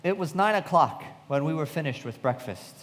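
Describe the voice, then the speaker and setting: Reflective narrator, slightly high voice. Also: male voice, expressive, normal speed, a bit bright. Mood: reflective, sombre. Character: reflective, loyal young man narrating a tragic memoir. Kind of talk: dramatic scripted narration in live radio play. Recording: reverberant hall.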